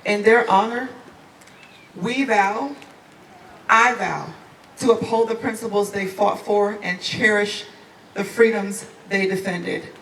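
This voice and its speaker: Gravelly, sharp-toned memorial speaker, measured, distant and echoey. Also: mid-range voice, steady tone. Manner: solemn, measured delivery. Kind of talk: formal speech, then banter. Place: outdoor memorial ceremony. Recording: echoey, distant, with crowd and noise.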